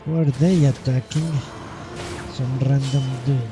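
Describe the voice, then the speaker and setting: Man muttering through game commentary over loud music. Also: medium pitch, steady tone, unhurried. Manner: swearing, thinking aloud, pessimistic. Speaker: game streamer. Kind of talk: live gameplay commentary, casual muttering. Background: loud video game music and sound.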